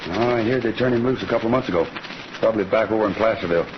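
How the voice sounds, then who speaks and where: A man's voice: on the low side, muffled recording, lightly acted. Laconic cowboy hero, male actor, noisy old recording. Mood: determined. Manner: terse, unhurried, folksy. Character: laconic, steady Western cowboy rancher hero. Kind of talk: old-time radio Western acting.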